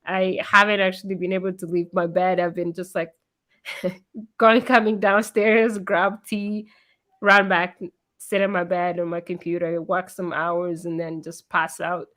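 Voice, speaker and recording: Hoarse, giggly woman hosting a live stream. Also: lowish voice, flat delivery, measured pace, fairly bright tone. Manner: giggly, chatty, many fillers. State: hoarse from illness. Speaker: interview host. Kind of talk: casual live-stream chat. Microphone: computer mic on a video call.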